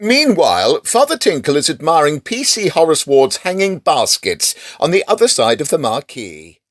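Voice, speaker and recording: Animated English man narrating a comic tale. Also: on the high side, normal speed, dry recording, studio quality. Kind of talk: storybook-like scripted comic narration.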